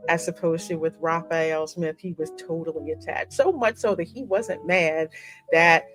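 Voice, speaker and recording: A woman's voice: low-pitched, moderate pace. A woman hosting a gaming channel, casually talking through a game's story with music behind her. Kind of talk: casual discussion of a game story.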